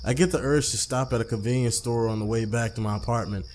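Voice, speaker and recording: A man's voice: medium pitch, quick pace, darkish tone, slight background noise. A man hosting a let's play, reading a visual novel aloud conversationally, with casual asides and stumbled, repeated phrases. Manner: conversational, stumbles and rereads lines.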